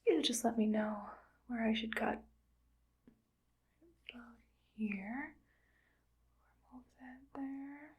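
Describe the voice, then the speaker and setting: A woman voicing a cheerfully callous female evil scientist in an ASMR roleplay, speaking in a soft close whisper and musing aloud as she works. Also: on the high side, takes it slowly, long pauses, dull audio, slight background noise. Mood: musing, calm.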